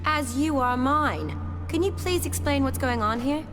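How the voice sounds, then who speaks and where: A woman's voice: high-pitched, measured pace, music in the background. A woman playing a spirited, wry young companion in a fantasy video game, speaking expressively. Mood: alarmed, exasperated. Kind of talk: video game cutscene dialogue.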